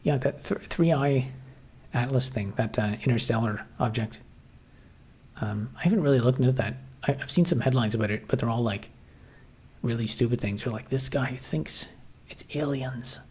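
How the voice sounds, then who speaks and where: A man's voice: medium pitch, normal speed, darkish tone, slightly rough. A man hosting a casual livestream, reading chat and musing aloud with lots of fillers and restarts. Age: adult, grew up watching 1990s TV.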